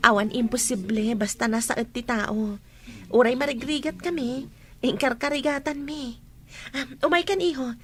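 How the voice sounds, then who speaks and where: An actress playing a composed, encouraging village doctor in an Ilocano radio drama. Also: female voice, sits high, fairly even intonation, normal speed, close to an everyday voice.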